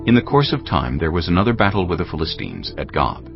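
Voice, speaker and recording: A man's voice: low voice, big pitch swings, fast. A man narrating scripture as a solemn storyteller in a dramatized audio Bible. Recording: lo-fi, music underneath.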